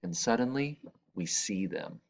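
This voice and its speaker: A man reading a children's picture book aloud, expressively, with dramatic and deliberate phrasing. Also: on the low side, animated, creaky, low-quality recording. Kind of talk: expressive read-aloud narration.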